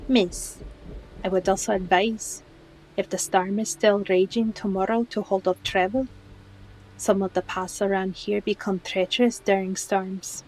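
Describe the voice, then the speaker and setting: Calm, mid-pitched tavern keeper played by a woman. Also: slight background noise, close to an everyday voice, rough recording. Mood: cautioning. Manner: polite, measured, advising. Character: calm, helpful female tavern bartender and innkeeper. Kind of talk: fantasy audio roleplay acting.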